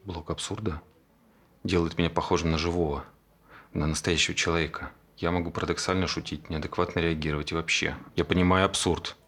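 Casting: a deep-voiced, fast-talking man who insists he is a robot, earnest and long-winded, slightly husky, in a stage play. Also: fairly even intonation, fairly bright tone, heavily acted. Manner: earnest, explaining at length.

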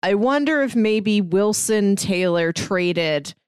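A woman's voice: medium pitch, animated, slow, clear, resonant tone, no echo, clean studio sound. A woman co-hosting a podcast, chatting casually about books. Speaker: podcast co-host. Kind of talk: casual book discussion.

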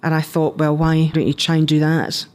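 A woman's voice: low-pitched, monotone, normal speed, darkish tone. A woman in her fifties with a Scottish accent, telling her career story conversationally as an interview guest. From Scotland. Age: 50s. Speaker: interview guest, business founder.

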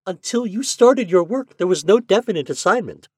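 A man reading a story, voicing a brisk, helpful young female records clerk. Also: high-pitched, expressive, husky, no echo, clean studio sound, voice pushed up.

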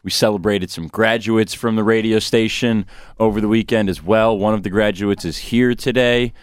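Young male sports radio host. Low, clean voice, close and dry, chatty delivery. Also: steady tone, normal speed, clear, resonant tone, dry recording. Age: young adult. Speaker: sports talk show host. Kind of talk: casual sports radio talk.

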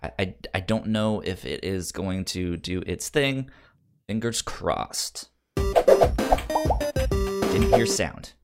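A man's voice: sits low, slow, pauses often, music in the background. A man hosting a podcast in casual chat, upbeat and enthusiastic, sometimes stammering over his words. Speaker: podcast host.

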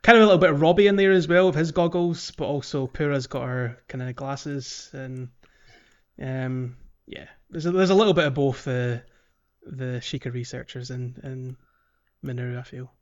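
A man co-hosting a podcast, talking casually about a video game in a halting way, searching for words. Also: medium pitch, steady tone, muffled recording. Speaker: podcast co-host.

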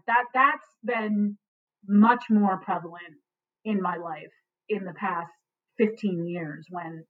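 A woman's voice: fairly high pitch, distant mic. Earnest woman on a tinny line. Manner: earnest, frequent "you know" and "right". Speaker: adoptee writer and panelist. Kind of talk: reflective panel conversation.

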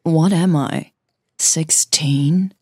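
A woman's voice: low voice, steady tone, very slow, darkish tone, husky, no echo, clean studio sound, heavily acted. A woman voicing an insecure woman in love who hides her hurt in an audio drama, restrained and self-doubting. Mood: self-mocking.

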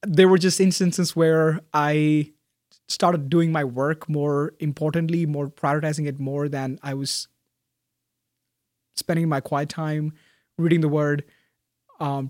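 A young man, a graduating student, answering interview questions in a thoughtful, soft-spoken way with frequent fillers and restarts. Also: on the high side, fairly even intonation, a little slow, no echo, clean studio sound. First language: Malayalam. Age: young adult.

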